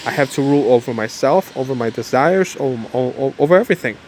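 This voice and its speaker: A man's voice: medium pitch, expressive, fast, a little mellow, slight background noise. A nineteen-year-old man vlogging casually and rambling through a repetitive motivational pep-talk outdoors. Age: young adult. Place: outdoors in the cold.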